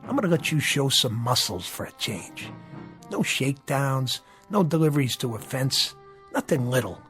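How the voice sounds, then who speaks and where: An actor playing a menacing, sardonic gangster boss, mocking and coolly controlling in a radio drama. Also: male voice, medium pitch, very animated, normal speed, a bit bright. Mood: menacing, smug. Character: menacing, sardonic gangster boss who needles his underling. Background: music.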